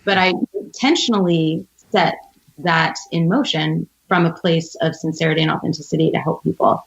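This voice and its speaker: Female entrepreneur guest, low-pitched, earnest and articulate, conversational advice, slightly lo-fi recording. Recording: dull, band-limited audio.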